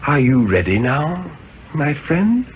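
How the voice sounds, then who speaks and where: A man's voice: a little high, sing-song, takes it slowly. Man acting a solemn ancient man, old radio sound. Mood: gently beckoning. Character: solemn ancient man, gently chiding, beckoning spirit. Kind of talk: 1950s radio dramatic reading. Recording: narrow-band, noisy old radio recording.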